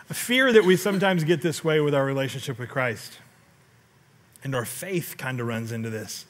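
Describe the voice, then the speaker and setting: Bright-voiced animated male pastor. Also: mid-range voice, measured pace, pauses often. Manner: animated storytelling with sudden loud outbursts and audience questions. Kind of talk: sermon. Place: large church stage.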